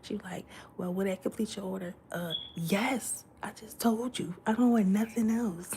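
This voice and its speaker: A young woman live-streaming indoors in someone else's house, chatting casually with her viewers in a hushed, low voice that often drops to a whisper, and acting out the voices in her stories. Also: normal speed, noisy background. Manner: animated storytelling, acting out dialogue.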